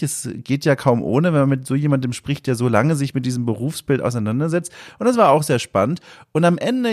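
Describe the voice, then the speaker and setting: A man in his thirties from West Germany, a podcast host introducing his guest in a chatty, rambling way with many asides. He has a cold and sounds congested. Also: fairly high pitch. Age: 30s. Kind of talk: casual podcast introduction and interview.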